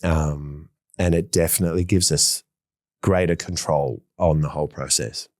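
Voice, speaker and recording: Very deep-voiced man, rambling. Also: steady tone, moderate pace, a little mellow, dry recording, clean studio sound. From Australia. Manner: enthusiastic, rambling, many fillers and restarts. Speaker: fashion designer, interview guest. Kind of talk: casual interview conversation.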